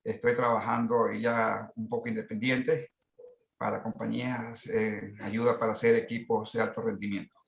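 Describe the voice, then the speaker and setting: Male, 66, Ecuadorian accent, gravelly voice, halting delivery, muffled online call sound. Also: on the low side, little pitch movement, roomy sound. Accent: Ecuadorian Spanish. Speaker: masterclass participant, management consultant. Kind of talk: introducing himself and sharing work experience.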